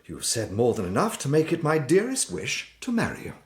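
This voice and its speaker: An actor playing a polished, gallant but unyielding Victorian suitor with an English accent, hesitant and courteous in a period audio drama. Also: male voice, mid-range voice, huge pitch swings, brisk, a little mellow. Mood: gallant, insistent. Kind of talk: period radio drama acting.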